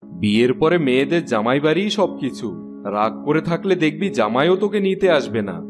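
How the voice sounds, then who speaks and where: Older cartoon father, acted by a man: fairly high, animated voice, firm lecturing, music behind. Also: fairly quick, close to an everyday voice. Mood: chiding, persuasive. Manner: chiding, lecturing. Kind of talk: Bengali cartoon voice acting.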